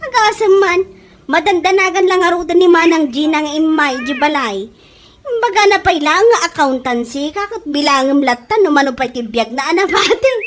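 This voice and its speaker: A woman's voice: high voice, animated, normal speed, slight background noise. A woman with an Ilocano Filipino accent playing a helpful, plain-spoken young caregiver trainee in a radio drama. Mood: earnest.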